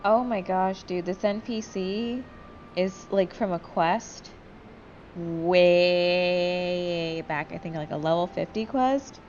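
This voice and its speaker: A woman's voice: mid-range voice, a little slow, darkish tone, lo-fi sound, slight background noise. A woman hosting a gameplay video, chatting casually, joking and swearing freely. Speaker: gaming video host. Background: game music.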